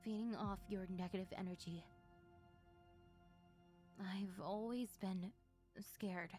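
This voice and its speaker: A woman voicing a lonely, needy female sleep paralysis demon in an intimate ASMR roleplay, hesitant and soft-spoken. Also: medium pitch, steady tone, slow, faint music behind, close to an everyday voice. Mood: wistful, uncertain.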